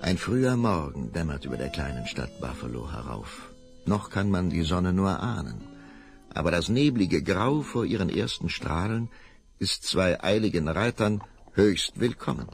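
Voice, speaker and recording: An older man narrating a radio play, calm and measured, with a gravelly voice. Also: deep voice, faint music behind. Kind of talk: scripted storybook narration.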